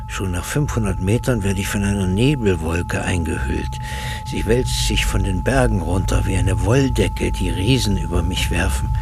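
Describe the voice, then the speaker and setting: An actor playing a brooding, self-critical man in a radio play, delivering a measured, contemplative literary monologue. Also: on the low side, background noise. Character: brooding, self-critical man recalling lists and lonely travels.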